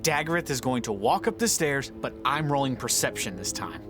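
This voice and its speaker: An actor playing a sarcastic, grumbling player in a comedy tabletop game. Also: male voice, medium pitch, expressive, normal speed, music in the background, lightly acted. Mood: sardonic. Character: sardonic man playing a doomed fighter.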